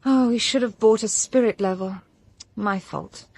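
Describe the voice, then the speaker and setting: A woman's voice: fairly high pitch, a little slow, gravelly, a little mellow, breathy edge, exaggerated voice. Wry young English woman detective. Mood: apologetic. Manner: polite, dryly witty. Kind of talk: radio drama acting.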